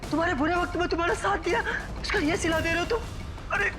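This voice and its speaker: A woman's voice: extremely high pitch, quick pace. A woman playing a betrayed captive in a TV crime drama, pleading and indignant. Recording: loud percussive dramatic music.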